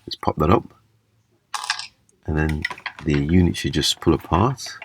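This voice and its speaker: Very deep-voiced man demonstrating a repair. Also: background noise. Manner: halting, step-by-step explaining. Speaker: repair video presenter. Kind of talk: casual hands-on repair demonstration. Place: small indoor room at a table.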